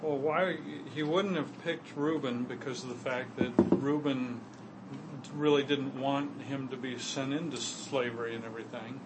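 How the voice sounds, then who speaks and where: A man's voice: mid-range voice, fairly even intonation, moderate pace, heavy background noise. A man in a Bible study group, talking in loose run-on sentences.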